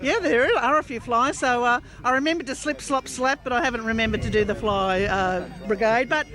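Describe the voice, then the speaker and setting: An Australian woman with a high voice answers questions as a local mayor. Her delivery is informal and a little halting, correcting herself as she goes. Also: a bit bright. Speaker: mayor, interview guest. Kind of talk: casual on-location interview. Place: outdoors at a community fundraising event.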